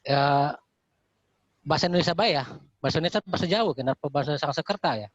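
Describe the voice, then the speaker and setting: A man's voice: a little high, quick pace, very noisy. A man, founder of a language community, joining a casual discussion as a caller and talking earnestly with many fillers. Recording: dull, lo-fi call audio.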